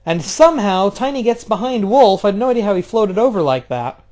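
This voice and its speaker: A man doing casual play-by-play of a video game wrestling match, jumping between loud outbursts and low, offhand, swearing asides. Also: animated, brisk. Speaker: play-by-play commentator.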